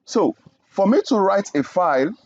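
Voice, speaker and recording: A man's voice: high voice, big pitch swings, normal speed, darkish tone, dry recording, lo-fi sound. Man talking through a coding lesson. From Nigeria. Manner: explains step by step, often adds "okay?". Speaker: programming instructor. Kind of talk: screen-recorded coding tutorial.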